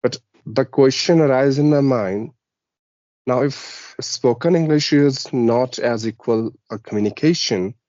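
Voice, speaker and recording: A man's voice: mid-range voice, a little mellow, dry recording, lo-fi sound. A man interviewing a guest, speaking formally and praising effusively.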